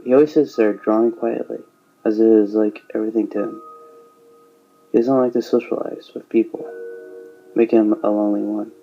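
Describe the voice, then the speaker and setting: A man's voice: lowish voice, steady tone, moderate pace, gravelly, pauses often. A man narrating a scripted story in the third person, on a lo-fi recording. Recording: dull lo-fi sound, music behind.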